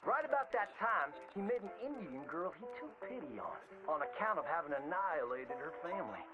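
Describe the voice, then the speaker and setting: A man's voice: fairly high pitch, sing-song, brisk, lo-fi sound. An actor playing a folksy, theatrical frontier showman with a Southern American drawl, narrating boastful tall tales in a video game. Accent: American Southern, old frontier drawl. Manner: hammy, folksy, exaggerated storyteller delivery. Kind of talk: theatrical old-time storytelling narration. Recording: band-limited old-radio sound with prominent music. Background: music.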